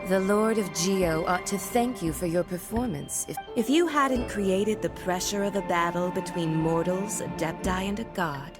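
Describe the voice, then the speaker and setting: A woman's voice: on the low side, normal speed, hardly any pauses, close to an everyday voice. A woman voicing a cold, haughty female envoy in a video game, commanding and disdainful. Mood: mocking. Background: music.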